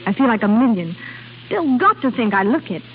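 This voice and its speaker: An actress playing a perky young Hollywood daughter in a 1930s radio soap commercial. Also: female voice, on the high side, very animated, brisk. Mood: elated. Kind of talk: 1930s radio advertisement acting. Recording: tinny, hissy 1930s radio broadcast.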